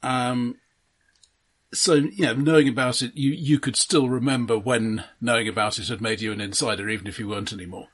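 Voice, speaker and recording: A male podcast co-host with a husky, slightly rough voice at an average pitch. He chats casually about films, restarting and repeating words as he builds a point. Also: steady tone. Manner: halting, repeats words as he explains. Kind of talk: casual film discussion.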